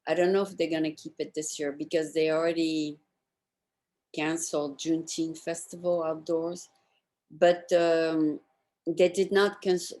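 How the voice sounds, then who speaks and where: A woman's voice: low-pitched, a little mellow. A woman. She talks casually, hosting a conversational interview. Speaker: talk show host.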